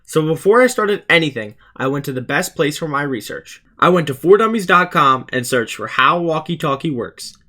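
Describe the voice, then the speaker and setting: A man's voice: on the high side, lively intonation, moderate pace, hardly any pauses, pushed and bright. Teenage boy narrating a school project. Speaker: student YouTuber presenting a school project. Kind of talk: scripted explainer narration.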